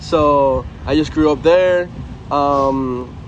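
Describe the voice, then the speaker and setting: A man's voice: fairly high pitch, drawn-out words, highs cut off, a little hiss or hum. A young man from Venezuela, a native Spanish speaker with a Venezuelan Spanish accent, talking casually and earnestly in an interview as a college baseball player. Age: young adult. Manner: earnest, loose, frequent "man" and "you know".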